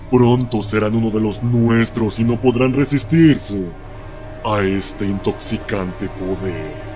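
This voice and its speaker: A man performs an arrogant cult overlord from a horror game. His speech is slow, gloating and grandiose in a fairly low voice, with prominent music behind it. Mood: gloating, menacing. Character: arrogant, sinister male cult overlord, contemptuous of humans. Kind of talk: fan-dubbed video game villain acting. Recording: loud music under the voice.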